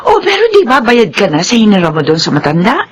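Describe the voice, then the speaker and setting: An actress playing a seductive, scheming mistress with a coaxing, theatrical manner in a Filipino radio drama. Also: female voice, mid-range voice, wildly swooping pitch, fast talker, slightly rough. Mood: impatient, goading.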